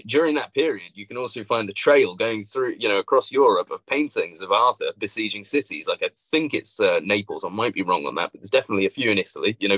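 A man's voice: lowish voice, pushed and bright, no echo, low-quality recording. A man as guest on a video call, explaining at length with "you know" fillers. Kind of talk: casual discussion on a video call.

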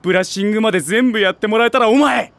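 A high-voiced cocky stray tomcat hustler. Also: male voice, racing, a bit bright, dry recording. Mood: cocky, scheming. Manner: brash, rough street talk. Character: cocky, ambitious streetwise stray tomcat, small-time gang big brother. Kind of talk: Japanese voice drama acting.

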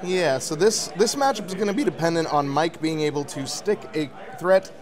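A man's voice: fairly high pitch, expressive, normal speed, crowd noise. A man giving casual color commentary on a card tournament, his voice strained and scratchy, breaking down matchups analytically. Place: card shop event.

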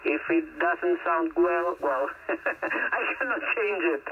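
A man heard over a single-sideband radio link, chatting as a ham operator in halting, non-native English phrasing. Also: fairly high pitch. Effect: single-sideband radio transmission. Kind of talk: casual ham radio chat. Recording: narrow noisy radio link, static.

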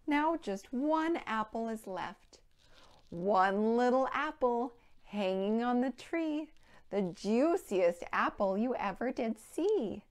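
Female storytime host, 30s, higher-pitched, extremely unhurried, addressing small children in simple rhymes. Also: animated. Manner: simple, clearly phrased address to young children. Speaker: children's library storytime presenter. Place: indoor room with playhouse backdrop.

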